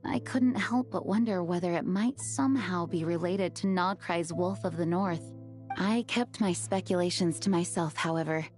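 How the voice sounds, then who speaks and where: A woman's voice: lowish voice, normal speed, darkish tone, faint music behind, close to an everyday voice. An actress playing a poised, dutiful woman knight in a video game, formal and thoughtful. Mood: reflective. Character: poised, earnest, dutiful female knight leader. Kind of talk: measured game voice acting.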